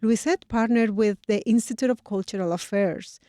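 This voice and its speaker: A woman news reporter narrating a scripted radio feature in a measured, clear way. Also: fairly high pitch, mellow, no room sound, studio quality.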